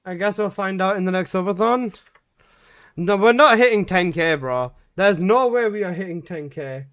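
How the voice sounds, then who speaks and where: A man's voice: high voice, normal speed, fairly bright tone. A man in his twenties, a game streamer chatting casually and jokingly with his live chat, swearing often. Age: 20s.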